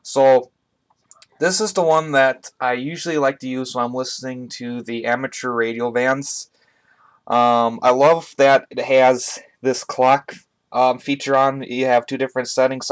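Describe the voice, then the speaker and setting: Guy doing a laid-back hobby review on a webcam, normal pitch, kinda halting and chatty. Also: little pitch movement. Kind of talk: casual product review to camera. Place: indoors, small room at home. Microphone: webcam on a tripod, speaker off camera.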